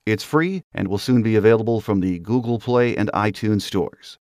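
Low-pitched man hosting a sports podcast. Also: normal speed, a little mellow, no room sound, studio quality. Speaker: podcast host. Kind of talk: sports podcast monologue, partly scripted.

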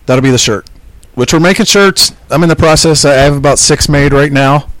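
A man's voice: on the high side, brisk, a bit bright, no echo, low-quality recording. Jokey male podcast co-host, dry mic. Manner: jokey, teasing, mock-announcer intros. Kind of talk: casual podcast banter. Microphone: podcast mic through a mixer board.

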